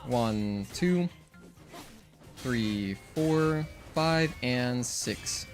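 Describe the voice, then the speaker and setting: A young man whose first language is Spanish talks casually through his gameplay, thinking out loud and counting off combo hits. His voice sits at a middling pitch. Faint music plays behind him. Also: expressive, drawn-out words. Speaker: streamer.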